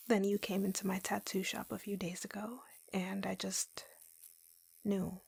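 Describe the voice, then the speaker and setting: Woman acting a breathy, slow-talking lovesick yandere. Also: mid-range voice, level tone, heavily acted. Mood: lovesick, confessing. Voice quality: soft, breathy, whispery. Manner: slow, intimate, halting confiding. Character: lovesick, obsessive yandere woman tattoo artist. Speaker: roleplay voice performer. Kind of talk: intimate girlfriend roleplay audio, POV.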